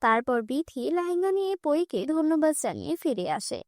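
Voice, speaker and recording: High-pitched female storybook narrator. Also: big pitch swings, moderate pace, dry recording, studio quality. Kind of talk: scripted children's fairy-tale narration.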